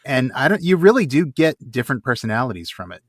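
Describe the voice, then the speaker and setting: A man's voice: mid-range voice, big pitch swings, fast talker, dry recording. A man co-hosting a podcast in a casual, conversational style. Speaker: podcast co-host. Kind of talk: casual chat.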